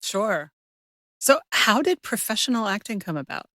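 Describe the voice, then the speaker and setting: A woman's voice: medium pitch, very animated, normal speed, sharp tone, no room sound, studio quality. A woman hosting a creativity podcast, speaking in a scripted, presenter style. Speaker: podcast host and creativity coach. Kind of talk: scripted podcast introduction and interview.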